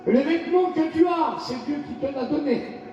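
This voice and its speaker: A male pastor from France delivering a fiery revival sermon, shouting in bursts and calling for responses from the congregation. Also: very high voice, normal speed, a little hiss or hum. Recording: echoey hall, distant lo-fi mic.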